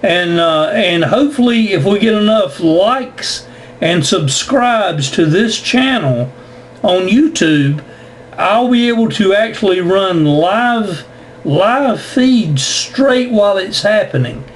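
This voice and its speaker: A man with a high-pitched voice talks casually as a ham radio operator. He explains things, doubling back on words, over faint noise. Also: animated, takes it slowly. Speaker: amateur radio operator recording contacts for YouTube. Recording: noisy amateur radio audio.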